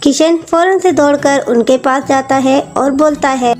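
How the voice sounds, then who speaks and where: A woman's voice: sits high, unhurried, darkish tone. A woman narrator reading a scripted moral story. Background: faint music.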